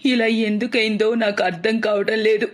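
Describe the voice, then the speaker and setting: A woman voicing an expressive, doting middle-aged mother and mother-in-law in a cartoon story. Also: mid-range voice, brisk, lightly acted. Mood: anxious.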